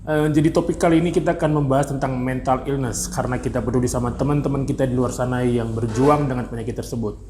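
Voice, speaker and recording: A man's voice: medium pitch, steady tone, fast, few pauses, background noise. Male interview host in echoey room. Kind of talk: conversational hosting with questions.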